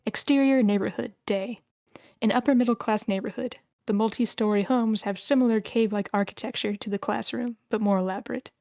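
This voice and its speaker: A woman's voice: a little high, fairly even intonation, brisk, warm tone, no echo. Woman narrator reading screenplay directions, dry sound. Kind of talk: scripted narration at a table read.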